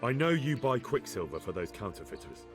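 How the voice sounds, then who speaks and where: An actor playing a bold, blunt young investigator in a video game, direct and challenging. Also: male voice, mid-range voice, sing-song, brisk, over music, close to an everyday voice. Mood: firm, pressing.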